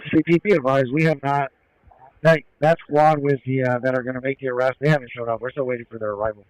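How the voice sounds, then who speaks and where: A man's voice: medium pitch, fairly even intonation, fast talker, darkish tone, dry recording, poor audio. A man reporting status as a field police officer over a police radio.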